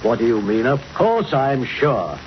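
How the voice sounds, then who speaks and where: Blustering, pompous old-radio politician. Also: male voice, mid-range voice, sing-song, unhurried. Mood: flustered. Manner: blustering, indignant, sputtering. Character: pompous, irritable, blustering male Member of Parliament. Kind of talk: 1940s radio drama acting. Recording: dull, hissy old radio recording.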